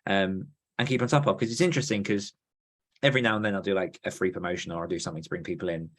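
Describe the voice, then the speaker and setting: A man's voice: sits low, steady tone, very fast, a bit bright. A man hosting a podcast, explaining things earnestly in casual conversation. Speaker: podcast host.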